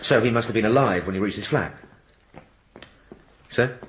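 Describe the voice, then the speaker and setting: An actor playing a respectful but dogged police sergeant in a radio drama, gently challenging his superior. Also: on the low side, moderate pace, a little hiss or hum, lightly acted, poor audio. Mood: questioning.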